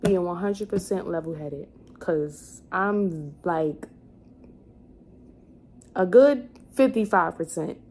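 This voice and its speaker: A woman's voice: on the low side, slow, pauses often, a little mellow. A woman in her twenties chatting casually as a vlogger, rambling as she thinks aloud and corrects herself. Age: 20s. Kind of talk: casual chat, answering questions to camera. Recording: clearly noisy room ambience.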